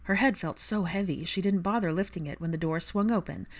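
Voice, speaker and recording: A woman's voice: fairly low pitch, a little fast. A woman reading scripted fiction aloud as an audiobook narrator.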